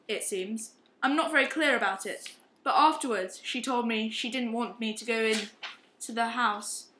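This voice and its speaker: Woman playing a guilty young man, high voice. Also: pushed and bright. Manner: confessional, halting, ashamed. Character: guilt-ridden, heavy-drinking young upper-class man. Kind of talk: amateur radio play acting. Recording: roomy, echoing amateur recording.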